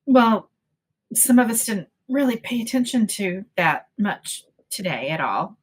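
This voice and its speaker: A woman's voice: mid-range voice, very animated, a little slow, slightly rough. Offhand woman with a mid-range voice. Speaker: panelist. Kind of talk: casual chat.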